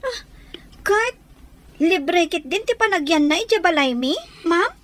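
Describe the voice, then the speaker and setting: A woman's voice: extremely high pitch, animated, measured pace. An actress playing a glum, defensive young female student in an Ilocano radio drama, with a plaintive way of talking. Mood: resigned.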